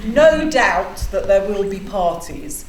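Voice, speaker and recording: A woman's voice: medium pitch, lively intonation, slow pace, reverberant, slight background noise, low-quality recording. A woman speaks matter-of-factly during a planning meeting. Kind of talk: meeting discussion.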